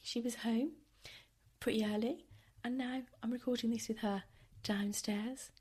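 A woman hosting a casual solo podcast, chatty and wry, with a tired, croaky voice, recording at home. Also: a little high, steady tone, a little slow, a little mellow. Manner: chatty, wry and self-deprecating. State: hoarse, tired. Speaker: podcast host.

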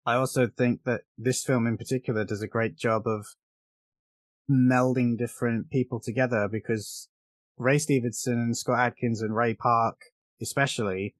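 A man's voice: medium pitch, fairly even intonation, moderate pace, no room sound. A man co-hosting a casual film discussion, explaining his opinions at length in an informal way. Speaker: podcast co-host.

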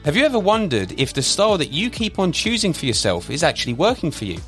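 A man's voice: a little high, quick pace, soft background music. Upbeat male podcast host. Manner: upbeat, lively questions. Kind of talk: podcast interview.

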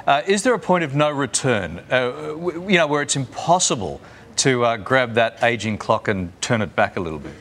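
A man's voice: mid-range voice, lively intonation, moderate pace, fairly bright tone. Man near sixty hosting, chatty. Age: 50s. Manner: conversational, prompting questions. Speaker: TV show host. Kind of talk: breakfast TV interview. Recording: faint background noise.

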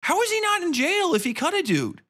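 A man's voice: extremely high pitch, huge pitch swings, a little fast, pushed and bright. A man hosting a podcast, delivering a casual, sweary review rant in an exasperated, sarcastic and emphatic way. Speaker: podcast host reviewing horror films and books. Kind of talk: casual ranting review monologue, heavy swearing.

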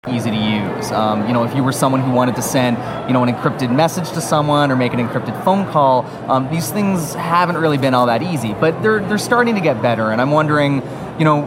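A man's voice: mid-range voice, a little fast, heavy background noise. A man interviewing a guest, asking questions in an inquisitive way and hedging with "you know". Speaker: interviewer, podcast host.

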